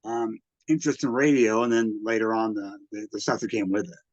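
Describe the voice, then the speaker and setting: A man's voice: on the low side, no room sound. Interviewee, male, 60s, American. Hesitant, conversational collector looking back. Dry, slightly lo-fi sound. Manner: halting, hesitant, backtracks often. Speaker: radio collector and museum exhibitor, interviewee. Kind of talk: casual reminiscing interview.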